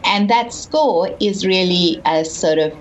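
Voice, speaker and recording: A woman explains how a screening tool works, clearly and step by step. Her voice sits a little low. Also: takes it slowly, highs cut off, a little hiss or hum. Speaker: interviewee. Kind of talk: interview explanation.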